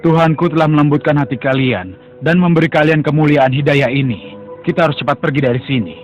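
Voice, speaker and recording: Male actor as an earnest prophet, a bit high, dull lo-fi sound with music. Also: quick pace, close to an everyday voice. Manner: solemn, earnest and prayerful. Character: devout prophet, calm man preaching to his people. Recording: dull lo-fi dub over music.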